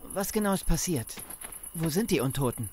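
An actor playing a practical, cautious survivor in a German zombie audio drama. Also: male voice, on the high side, animated, normal speed, a little mellow, slight background noise, close to an everyday voice. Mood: concerned.

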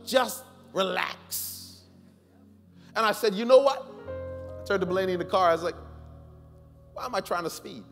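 A middle-aged man preaching a sermon as a pastor, telling personal stories with animated swings between loud pleading and quiet reflection. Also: fairly high pitch. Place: large church sanctuary, preaching from the stage. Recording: long hall reverb with faint music.

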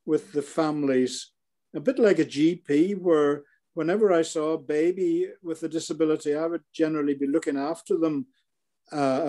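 A man's voice: fairly high pitch, warm tone. An older man with a Northern Irish accent, a retired paediatrician recounting his career in a video call interview, with frequent fillers and restarts. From Northern Ireland. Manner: hesitant, many fillers and restarts. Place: living room in an armchair. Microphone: laptop webcam video call.

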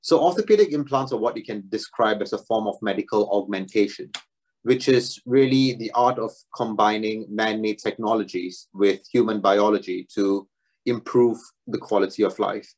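Low-voiced young man giving a research talk. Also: moderate pace, low-quality recording. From Singapore. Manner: relaxed, self-deprecating, with frequent fillers. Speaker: doctoral student presenter. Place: home room, video talk.

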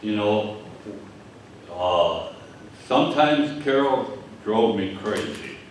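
An older man with a Michigan accent preaching a sermon at a lectern, speaking haltingly with many fillers and repeated words. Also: fairly low pitch, animated, slight background noise. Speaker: pastor. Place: church hall with stage and screen. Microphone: lectern mic from a distance. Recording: echoey hall, distant mic.

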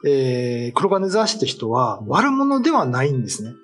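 Middle-aged male writer, chatty explainer, animated intonation, faint music underneath. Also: mid-range voice, normal speed. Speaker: writer and panelist. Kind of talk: casual explanatory chat about folklore. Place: small indoor studio at a table. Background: faint background music.

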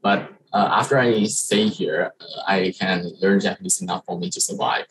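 A young man from Thailand, a Thai native speaker, giving a slide presentation as an international student on a video call, halting with many fillers and restarts. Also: deep voice, steady tone, a bit bright, slightly rough, rough recording. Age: young adult. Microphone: video call webcam.

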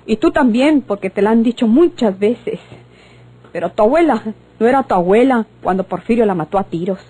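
A woman's voice: a little high, animated, fairly quick, mellow, breathy edge, poor audio. An actress playing a reproachful, pleading woman in an old radio drama. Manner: dramatic, scolding. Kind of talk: old Mexican radionovela acting.

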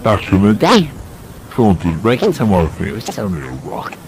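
A young man chatting informally and excitedly in a casual exploration vlog, in a blokey, exclamatory way. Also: on the low side, fairly quick, creaky, a little hiss or hum. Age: young adult. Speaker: vlogger exploring an abandoned site. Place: abandoned buildings and grounds at night.

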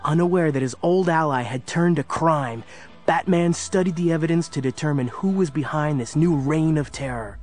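A man's voice: a little high, moderate pace, darkish tone. A man narrating an audio story in a dramatic, scripted storytelling style. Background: music.

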